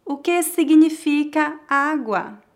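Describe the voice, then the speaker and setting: A Brazilian woman teaching pronunciation on her channel, with a high voice. She speaks very slowly and articulates every word carefully so learners can repeat after her. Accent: Brazilian Portuguese. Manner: clear, deliberate enunciation for learners. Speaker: Portuguese language teacher. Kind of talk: slow pronunciation lesson.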